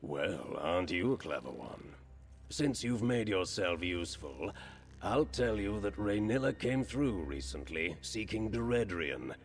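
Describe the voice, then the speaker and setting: A man's voice: low voice, big pitch swings, a little slow, full character voice. A man playing a chatty, slyly menacing crime lord in a fantasy video game, with an insinuating, falsely courteous manner. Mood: sly, bargaining. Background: background music.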